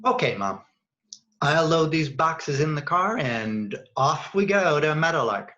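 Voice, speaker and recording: Man acting as exasperated, questioning son, swooping pitch. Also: animated, measured pace, bright, forceful tone, highs cut off, slight background noise. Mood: defensive. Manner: questioning, insistent. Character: exasperated, judgmental adult son of an elderly mother. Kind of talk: radio play acting.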